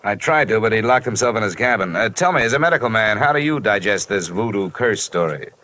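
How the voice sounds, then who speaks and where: A man's voice: on the low side, lively intonation, fast talker, bright, forceful tone, a little hiss or hum. A man playing a wry, hard-boiled investigator in an old radio detective drama, with clipped, sardonic delivery. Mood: wry, probing. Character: wry, hard-boiled male insurance investigator narrating his case. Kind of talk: old-time radio detective narration and dialogue.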